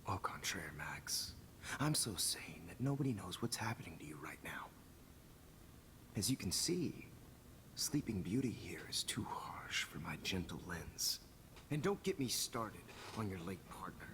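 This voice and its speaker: An actor playing a pompous, calmly menacing photographer villain in a video game, gloating softly over noisy captured audio. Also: male voice, sits low, a little slow, heavily acted. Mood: smug, lecturing. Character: smug, calmly menacing photographer kidnapping his students.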